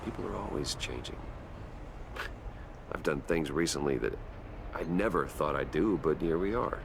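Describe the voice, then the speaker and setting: An actor playing a guarded, level-headed, brooding billionaire hero in a video game, speaking in a measured, careful, earnest way. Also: male voice, a bass voice, expressive, gravelly, noisy background, exaggerated voice. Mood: reassuring.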